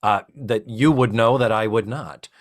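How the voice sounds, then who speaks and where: Male podcast host, lively and playful, recaps scenes and acts out lines. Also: fairly low pitch, measured pace. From lives in Central Florida. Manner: animated, acts out lines in raised mock voices. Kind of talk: casual recap chat.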